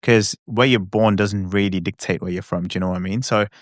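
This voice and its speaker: A man's voice: fairly low pitch, steady tone, a little fast, dry recording, studio quality. A male podcast host with a mixed Australian and British accent, chatty and rambling in a casual way. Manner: chatty, rambling, self-deprecating. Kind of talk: casual interview chat.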